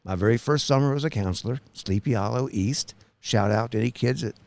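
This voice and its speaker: A man's voice: mid-range voice, fairly quick. A man in his sixties co-hosting a podcast in rambling casual chat, prompting his partner, recorded outdoors on a lakeside tower. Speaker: podcast co-host.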